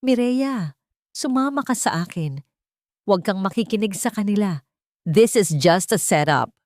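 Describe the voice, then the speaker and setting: A woman's voice: medium pitch, expressive, unhurried, a little mellow, no echo, pitched above the performer's own voice, lightly acted. Henchman role, read by a woman: urgent, defensive, pushy, anxious edge, very dry sound. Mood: urgent, coaxing. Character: anxious, forceful male henchman of a crime boss.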